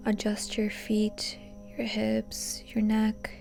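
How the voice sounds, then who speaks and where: Woman, meditation guide, very slow pace, breathy near-whisper, mellow tone, calm, faint music behind. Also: mid-range voice. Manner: calm, unhurried, gently instructing. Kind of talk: soothing guided meditation narration.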